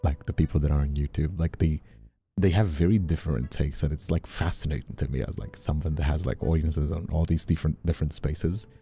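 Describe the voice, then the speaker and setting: A man's voice: rumbling low, steady tone, brisk, dark tone, soft background music. A man from Brazil, a native Portuguese speaker, chatting casually as a livestream host in a rambling way. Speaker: streamer and voice actor, hosting.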